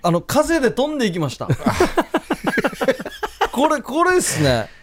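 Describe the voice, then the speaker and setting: A man's voice: very high-pitched, huge pitch swings, unhurried, noisy background. A man hosting a casual radio show, chatting and reading out listener mail.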